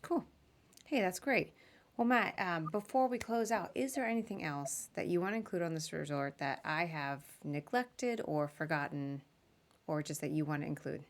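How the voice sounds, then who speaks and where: A woman's voice: low voice, expressive, moderate pace. A woman hosting a podcast, interviewing a guest in a casual, chatty and informal way. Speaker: podcast host.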